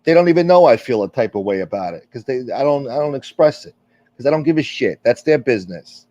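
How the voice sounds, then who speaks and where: A man's voice: medium pitch, animated, quick pace, warm tone, no room sound. Rambling, profane man on a lo-fi stream. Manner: rambling, candid, profane, with frequent fillers. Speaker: livestream host. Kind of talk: casual livestream chat reading viewer comments. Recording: dull, lo-fi stream audio.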